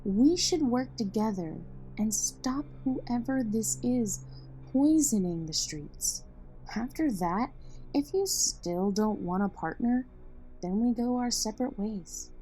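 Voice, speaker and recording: Slow-talking actress as a confident female vigilante. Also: fairly high pitch, lively intonation, mellow, faint music behind, rough recording. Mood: persuasive. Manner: assertive, teasing, measured. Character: street-smart young female vigilante, confident, persuasive, wry. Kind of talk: audio drama dialogue.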